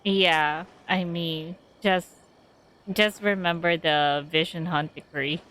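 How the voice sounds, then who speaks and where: A woman's voice: lowish voice, fairly even intonation, slow, a bit bright, slight background noise, rough recording. A woman giving casual gameplay commentary, hesitant and often repeating her phrases as she thinks aloud. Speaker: game streamer.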